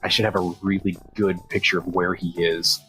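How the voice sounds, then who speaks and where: Deep-voiced man playing a tabletop game. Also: flat delivery, fairly quick, sharp tone. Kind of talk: casual game chat. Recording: music behind the voice. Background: music.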